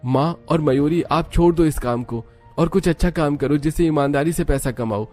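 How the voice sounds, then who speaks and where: An honest young husband in a cartoon moral tale, played by a man narrator. He pitches his voice up and pleads earnestly as he scolds. Music runs behind him. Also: normal speed, a little mellow, close to an everyday voice. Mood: pleading.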